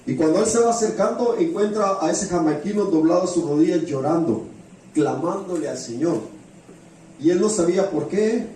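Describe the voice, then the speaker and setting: A man's voice: fairly high pitch, warm tone. A man preaching a sermon through stories, repeating phrases for emphasis and often putting rhetorical questions to the congregation. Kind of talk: sermon with storytelling. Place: church hall with heavy echo. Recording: very echoey hall, distant mic, faint noise.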